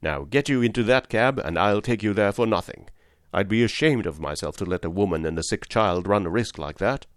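A man's voice: on the low side, animated, brisk, no room sound, lightly acted. A working-class cab driver from a storybook, played by a male reader. He is fatherly and plain-spoken, firm yet kindly and reassuring. The recording is dry, with no room around the voice.

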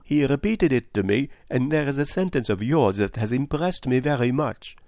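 A man voicing a shrewd, calm and courteous detective in an audiobook, with a measured, probing manner. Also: mid-range voice, no room sound, studio quality, pitched above the performer's own voice, close to an everyday voice. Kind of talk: audiobook character voice.

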